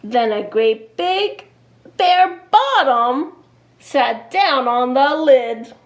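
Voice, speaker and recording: Animated, slow-paced woman reading to children. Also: high-pitched. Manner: expressive, deliberate, explaining to young children. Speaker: children's storyteller. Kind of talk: picture-book read-aloud with asides to children. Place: small indoor room, seated before a cloth backdrop.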